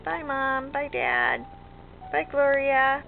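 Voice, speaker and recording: An older woman voicing a picky, curious little girl from a storybook, in an earnest, drawn-out sing-song way. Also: sits high, wildly swooping pitch, creaky, pitched above the performer's own voice, heavily acted. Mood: cheery. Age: older, grandmother. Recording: muffled lo-fi home recording, music behind.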